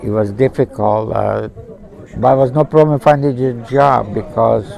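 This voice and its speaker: An older man in his seventies recounts his testimony in halting, simple English, as someone who learned it late. His voice is mellow and dark, pitched in an ordinary middle range. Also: creaky. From born in Macedonia, raised in Zagreb. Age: 70s. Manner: halting, simple non-native English phrasing. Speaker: interviewee. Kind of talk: personal testimony in conversation. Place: outdoors at a pilgrimage site.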